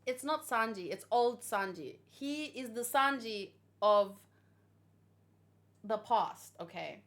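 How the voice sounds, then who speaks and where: A woman giving excited, rambling reaction commentary, emotional and full of gushing exclamations. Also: on the high side, slow pace. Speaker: host of a manga read-along reaction.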